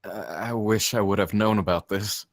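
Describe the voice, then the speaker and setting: A man's voice: on the low side, steady tone, moderate pace, no echo, clean studio sound. A man playing a shy, awkward, reluctant guest in a screenplay table read, hesitant and polite.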